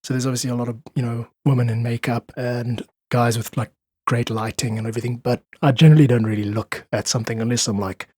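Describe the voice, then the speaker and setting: Man with a husky voice. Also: medium pitch, fairly even intonation, fast, a little mellow, dry recording, studio quality. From South Africa. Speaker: podcast guest, freelance musician. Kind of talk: casual podcast conversation.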